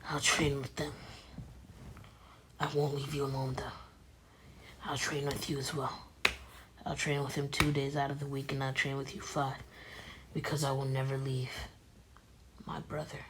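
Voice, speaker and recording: A woman voicing a solemn, loyal teenage ninja in an anime retelling, speaking in a hushed, earnest way. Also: very deep voice, little pitch movement, normal speed, long pauses, a little hiss or hum. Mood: earnest devotion.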